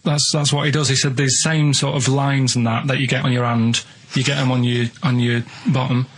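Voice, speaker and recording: A man chats casually as a radio co-host. He rambles through odd stories in a matter-of-fact way at a middling pitch, with some noise on the recording. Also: flat delivery, fairly quick. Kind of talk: casual chat, telling odd anecdotes.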